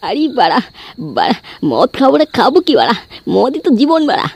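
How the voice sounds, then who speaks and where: A man's voice: extremely high pitch, big pitch swings, a little fast, gravelly, breathy edge, dry recording, exaggerated voice. A man voicing a crude, foul-mouthed drunken husband in a comedy cartoon, slurring and grumbling. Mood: drunk, sleepy. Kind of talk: amateur comedy cartoon voice acting.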